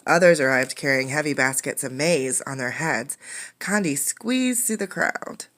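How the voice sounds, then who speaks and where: Low-voiced woman reading to children. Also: animated, unhurried, fairly bright tone. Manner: instructive, poses questions to young listeners. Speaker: teacher reading a picture book aloud. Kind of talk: interactive storybook read-aloud for children.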